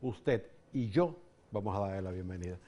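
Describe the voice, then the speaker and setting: A man's voice: low voice, lively intonation, normal speed, dull audio, crowd noise. A man hosting an interview show, greeting viewers and asking direct questions. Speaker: host. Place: television studio set.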